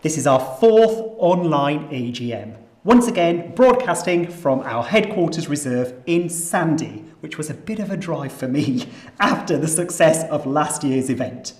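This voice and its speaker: A Yorkshire-accented host runs through event housekeeping in a steady mid-pitched voice. The delivery is scripted but dotted with light self-deprecating jokes. The voice carries a noticeably roomy, echoing sound. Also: a little slow. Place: nature reserve headquarters.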